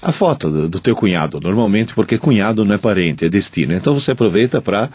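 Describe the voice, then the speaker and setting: Low husky man with Italian-tinged accent. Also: animated, quick pace, a little mellow, dry recording. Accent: Italian-tinged São Paulo interior. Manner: chatty, jokey, reads listener emails aloud. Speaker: podcast co-host. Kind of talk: casual radio-style chat reading listener mail.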